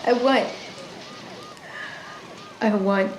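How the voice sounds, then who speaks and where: Woman acting as lonely wounded young woman, audio drama, slow halting speech, roomy sound, loud music underneath. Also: mid-range voice, a little hiss or hum. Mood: shaky relief.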